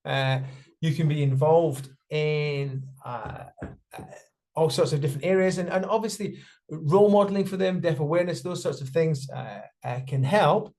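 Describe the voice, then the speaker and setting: A man with a fairly high voice voices sign language speakers for a panel. His delivery is halting and full of fillers and restarts as he keeps pace with the signers. The sound comes through a video call. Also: a little mellow. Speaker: sign language interpreter voicing deaf panellists. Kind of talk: spoken interpretation of a panel discussion.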